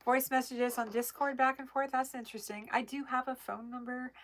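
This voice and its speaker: A woman's voice: high-pitched, flat delivery, brisk, a bit bright. A bubbly woman hosting a casual livestream from her family room at home, chatting and thanking viewers, recorded on a phone. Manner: chatty, bubbly, laughs easily. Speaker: livestream host reading viewer comments. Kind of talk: casual live chat.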